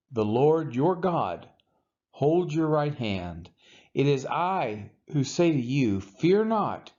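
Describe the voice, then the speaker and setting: A man reading scripture aloud in a dramatic, solemn and emphatic way, with measured pauses. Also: a little high, darkish tone, muffled recording. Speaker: Bible reader.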